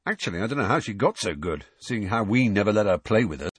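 A man's voice: on the low side, big pitch swings, quick pace, slightly rough, no echo. A man voicing an easygoing, fair-minded teenage prankster twin in an audiobook, mild and laconic. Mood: mildly impressed.

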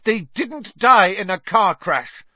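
A man voicing a quiet, tense teenage boy who speaks in a hushed, restrained way. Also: sits high, animated, slow, slightly rough, no room sound, muffled recording, pitched above the performer's own voice, full character voice.

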